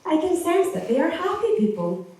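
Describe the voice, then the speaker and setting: A woman playing a gentle, hopeful young heroine in a stage pantomime, earnest and wistful. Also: very high voice, very animated, normal speed. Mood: curious, earnest. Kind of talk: scripted pantomime stage acting. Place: theatre stage. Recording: distant echoey hall, faint noise.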